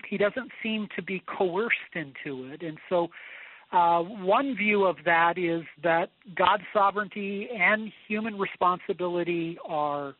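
A man giving measured, thoughtful explanations as a guest scholar on a radio show, pausing as he works through answers. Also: high voice, no echo. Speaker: guest philosopher and theologian. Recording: phone-like narrowband radio line.

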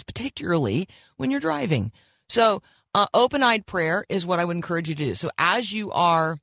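A man's voice: fairly high pitch, a bit bright, dry recording, clean studio sound. A man hosting a morning radio show, speaking in an earnest, encouraging devotional tone with a slightly froggy voice and frequent ums and restarts.

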